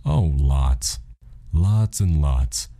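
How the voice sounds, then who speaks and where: A man voicing a calm, matter-of-fact God in an audiobook, casual, deadpan and reassuring. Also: extremely low pitch, drawn-out words, no room sound, exaggerated voice. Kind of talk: audiobook character dialogue.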